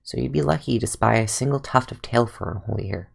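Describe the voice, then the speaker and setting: A young man voicing a calm, sardonic male fox-eared forest ranger and scholar in a fan redub of game lines. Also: mid-range voice, fast talker, gravelly. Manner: dry, sardonic, with soft musing asides. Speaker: fan voice actor.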